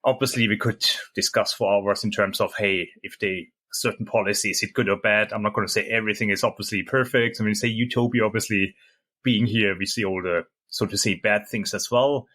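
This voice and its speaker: A man's voice: on the low side, steady tone, fairly quick, a bit bright. A middle-aged man from Germany, a German native speaker, talking as an interview guest in a hesitant way with many fillers and restarts. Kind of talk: casual interview chat.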